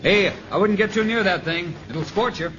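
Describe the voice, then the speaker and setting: A man playing a brisk, plainspoken and wry forest ranger in a 1950s radio drama, on a noisy old recording. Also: high-pitched, animated, fairly bright tone. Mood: hearty. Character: brisk, wisecracking male forest ranger on fire duty.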